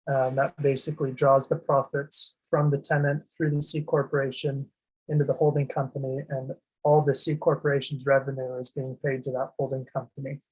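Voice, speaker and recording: A man explaining legal and tax structures in a slide presentation, speaking in a monotone with frequent ums and restarts. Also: on the high side, poor audio. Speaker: asset protection presenter.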